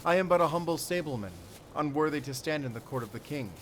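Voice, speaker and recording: A man's voice: fairly high pitch, lively intonation, fast talker, a little hiss or hum, natural voice. A man voicing a humble, guarded stableman hero in an audio drama tale, modest and formal in speech.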